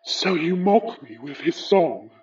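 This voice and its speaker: A woman's voice: low voice, expressive, slow, a little mellow, recorded from a distance, muffled recording. A woman playing a haughty, bitter aristocratic ghost in a horror audio drama, speaking formally and theatrically with a British accent. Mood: cold, measured.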